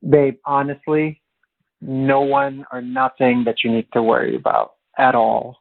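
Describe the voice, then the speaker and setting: A man's voice: medium pitch, steady tone, unhurried, gravelly. A man talking casually on the telephone, as someone called by a radio show. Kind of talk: casual phone conversation. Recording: narrow tinny phone line.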